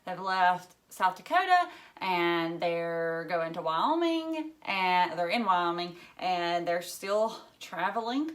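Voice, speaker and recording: A woman teacher reading a story aloud to children in an expressive way, emphasizing key words. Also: on the low side, slow.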